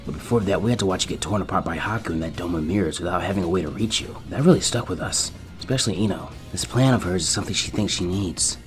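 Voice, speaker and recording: A man's voice: low-pitched, quick pace, hardly any pauses, faint music behind, voice pushed down, close to an everyday voice. A man voicing a laid-back, thoughtful teenage ninja boy in a subdued, matter-of-fact way. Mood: calm, serious.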